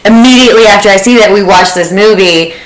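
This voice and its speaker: A woman's voice: mid-range voice, fast talker. A woman chatting casually on a group podcast in a conversational way, full of "like". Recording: echoey room.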